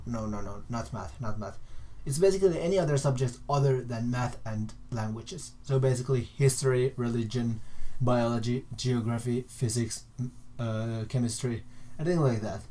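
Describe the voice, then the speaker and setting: A young man from Finland with a Finnish accent, a native Finnish speaker, explaining things casually in a halting way, repeating and rephrasing himself. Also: mid-range voice, dark tone. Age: young adult. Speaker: vlogger. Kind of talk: casual informal explainer to camera.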